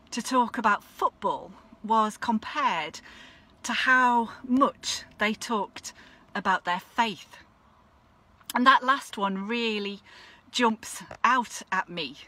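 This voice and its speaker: A woman minister from Leeds, giving a measured, reflective devotional talk. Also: a little high, bright, forceful tone. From Leeds, England. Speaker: Methodist minister. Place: outdoors at a football stadium.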